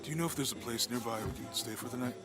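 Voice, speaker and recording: A man plays a loyal, easygoing adventurer's friend in a game cutscene. His mid-pitched voice sits under loud music. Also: very fast, lightly acted. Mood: friendly, wary. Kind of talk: video game cutscene acting.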